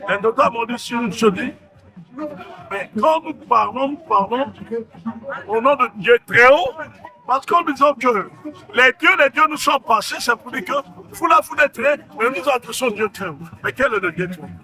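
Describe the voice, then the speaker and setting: Male prophet-preacher, Central African French accent, emphatic sermon delivery, sharp voice. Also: very high voice, expressive, normal speed, poor audio. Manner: emphatic, proclaiming, repeats phrases.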